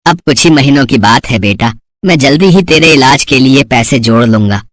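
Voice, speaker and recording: A man voicing an earnest, worried father who runs a street food cart, gentle and reflective, in a moral story. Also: mid-range voice, expressive, normal speed, no room sound, studio quality, natural voice. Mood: hopeful, tender. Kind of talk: Hindi moral story voice acting.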